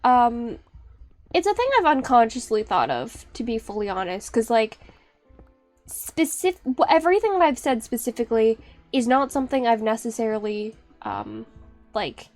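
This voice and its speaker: High-pitched young woman streamer, rambling. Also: big pitch swings, moderate pace, a little mellow, soft background music. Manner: rambling, self-interrupting, laughs often. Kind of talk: casual live-stream chat.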